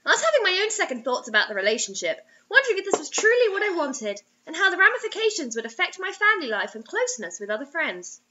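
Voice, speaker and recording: Animated, high-voiced young woman reading aloud. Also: quick pace, few pauses, lo-fi sound, background noise. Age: young adult. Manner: expressive, theatrical. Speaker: host reading bad fiction aloud. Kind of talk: dramatic mocking story reading. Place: small indoor room.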